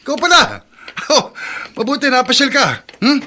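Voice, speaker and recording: A man with a Filipino accent playing an expressive repair shop owner and family man in a radio drama. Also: extremely high pitch, moderate pace, noisy background, exaggerated voice. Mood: jovial. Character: friendly auto repair shop owner, wronged husband and father.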